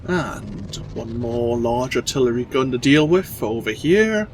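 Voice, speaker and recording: A man with a stuffy head cold giving relaxed, explanatory gameplay commentary as host. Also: medium pitch, a little slow, slight background noise. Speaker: let's play host.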